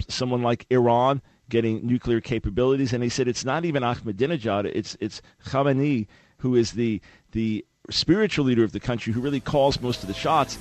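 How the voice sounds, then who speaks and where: A man's voice: mid-range voice, no room sound. A man hosting a talk radio show, speaking emphatically in rhetorical bursts.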